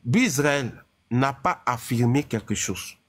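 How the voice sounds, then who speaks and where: A man moderating an online debate. His voice sits at an ordinary middle pitch. He speaks calmly and restates the other speakers' points for the listeners. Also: unhurried.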